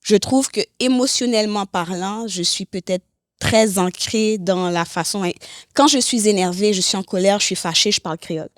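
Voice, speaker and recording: Female podcast guest, medium-pitched, candid and expressive, occasional English phrases, dry studio sound. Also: moderate pace, dry recording. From Haiti and Canada. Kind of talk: candid conversational interview.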